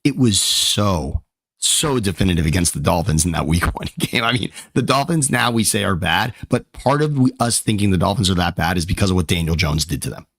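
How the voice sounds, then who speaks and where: A man co-hosting a sports talk show, excitable and emphatic, hyping up what he sees while straining his voice. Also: deep voice, fairly quick, dry recording. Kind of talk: excited sports analysis chat.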